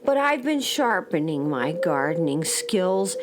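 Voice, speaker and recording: A woman playing a gentle, patient old swamp alien grandmother in a children's audio drama, speaking graciously and hopefully. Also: on the low side, very animated, unhurried, noisy background. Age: older.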